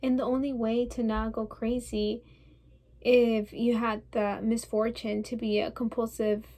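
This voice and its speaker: A woman's voice: fairly high pitch, level tone, unhurried, dark tone. A woman performing a theatrical monologue as a defensive, wounded and stubborn young woman, insistent and rambling. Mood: pained, insistent. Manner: insistent, rambling, piling up lists.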